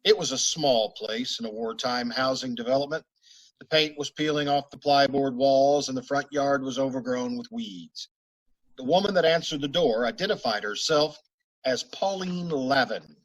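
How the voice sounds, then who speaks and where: An actor playing a clipped, matter-of-fact police sergeant who also narrates, in an old-time police radio drama. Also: male voice, medium pitch, moderate pace, close to an everyday voice, poor audio. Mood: narrating.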